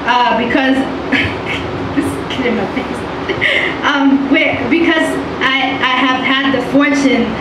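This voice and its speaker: A middle-aged woman singer telling stories in a relaxed interview, soft-spoken and laughing easily, into a handheld mic. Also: on the high side. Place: indoor studio with mural backdrop. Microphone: handheld mic, far camera. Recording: echoey room, distant mic, noise.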